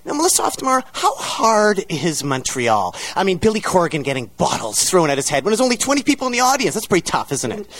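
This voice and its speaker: A man's voice: sits high, huge pitch swings, fast, few pauses, a bit bright, no room sound. A man from Canada's West Coast hosting an interview, teasing and playful with his guest. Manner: teasing, playful, keeps saying the guest's full name. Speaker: interviewer, host.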